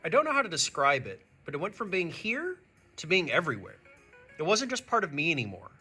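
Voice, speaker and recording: A man who is a teacher reading a picture book aloud to young students, expressive and speaking to them directly. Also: fairly high pitch, brisk, slightly rough, soft background music. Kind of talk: animated children's read-aloud.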